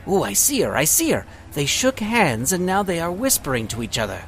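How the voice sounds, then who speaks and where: Animated, earnest young boy sleuth. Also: fairly high pitch, brisk, slight background noise, poor audio. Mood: curious, earnest. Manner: earnest, quaintly formal. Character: earnest, courteous young male magician sleuth. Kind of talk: family mystery audio drama acting.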